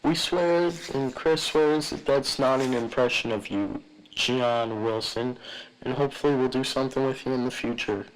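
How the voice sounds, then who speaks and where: A man's voice: mid-range voice, normal speed, a little hiss or hum, rough recording. A man hosting a podcast, speaking in casual, chatty commentary. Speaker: podcast host.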